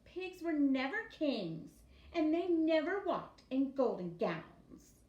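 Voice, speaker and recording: Storybook little-sister piglet, played by a woman: high, loud, bickering, drawn-out protests, echoey room. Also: sing-song, dull audio, full character voice. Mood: indignant. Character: indignant little girl piglet, bickering and excitable. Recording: echoey room with background noise.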